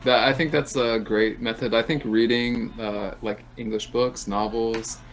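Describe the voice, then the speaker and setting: A man who speaks English natively, working as a relaxed, encouraging tutor and asking questions on a video call. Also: mid-range voice, a little slow. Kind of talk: casual conversation practice call.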